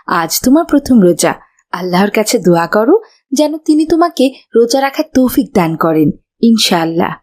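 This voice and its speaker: A woman voicing a gentle, reassuring mother in a children's cartoon, patient and soothing. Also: fairly high pitch, sing-song, normal speed. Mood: calm, reassuring. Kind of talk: children's moral cartoon voice acting.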